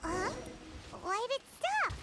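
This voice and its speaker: A woman's voice: very animated, background noise, exaggerated voice. A woman voicing a tiny, chirpy female companion in a video game, eager and chatty, with music playing behind her. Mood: curious. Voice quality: high squeaky voice. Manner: eager, chatty, quick reactions.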